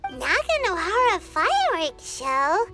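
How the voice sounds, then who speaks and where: A woman voicing a small, childlike fairy companion with a squeaky voice, curious and excitable, in an anime game. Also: slow, music in the background, heavily acted. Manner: exclamatory, inquisitive.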